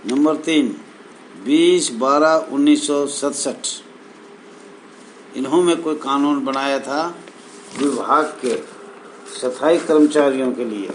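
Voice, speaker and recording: A man with a mid-pitched voice reads official documents aloud and explains them to his listeners. His delivery is very slow and halting, broken into short phrases. A light layer of ambient noise sits behind him. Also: rough recording. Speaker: presenter explaining rules to fellow members. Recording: noticeable background noise.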